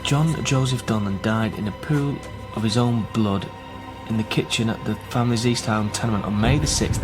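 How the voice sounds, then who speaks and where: A man's voice: on the low side, close to an everyday voice. An actor playing an earnest man researching his family history in a naturalistic radio drama.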